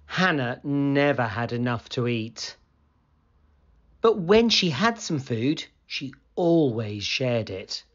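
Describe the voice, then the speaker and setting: A man reading a children's story aloud in an expressive, deliberate storytelling manner. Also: medium pitch, dull audio.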